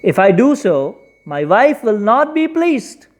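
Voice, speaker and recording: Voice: mid-range voice, big pitch swings, slow pace. A storyteller voicing a poor, tired, henpecked fisherman with a plaintive manner in a folktale. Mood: regretful.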